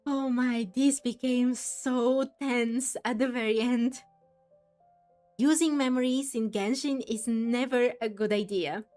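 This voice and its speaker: A woman's voice: high-pitched, darkish tone. A woman hosting a casual game playthrough, chatting and reacting expressively as she goes. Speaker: gaming video host.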